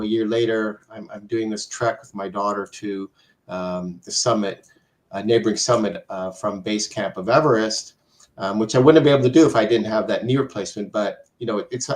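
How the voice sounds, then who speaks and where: A mature man with a somewhat low voice shares a personal story. He talks conversationally and loosely, circling back and pausing on filler phrases. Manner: rambling, with frequent "you know" pauses.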